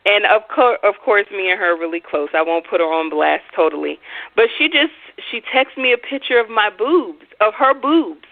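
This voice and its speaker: A young woman co-hosting a call-in astrology show. She talks casually, with hesitant ums and quick laughs. Her voice comes through a thin, phone-like line. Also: mid-range voice, animated. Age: young adult. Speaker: astrology talk show co-host. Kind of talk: casual chat.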